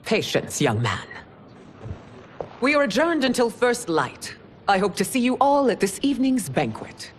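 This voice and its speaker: A woman's voice: a little high, very animated, a little slow. A woman playing a stern, regal, mature empress in a video game, speaking formally and with command. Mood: regal, composed. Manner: formal, imperious. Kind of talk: dramatic video game acting.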